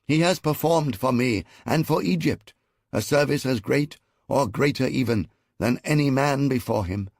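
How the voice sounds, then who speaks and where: A commanding pharaoh, as a male audiobook narrator puts him on, lifting his voice higher to address his court. His delivery is grand and ceremonious, strong and clear enough to carry across a great tent. The voice still keeps a gravelly edge, set in a dry, close recording. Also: normal speed, no room sound, close to an everyday voice. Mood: regal. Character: commanding male Egyptian pharaoh, regal ruler.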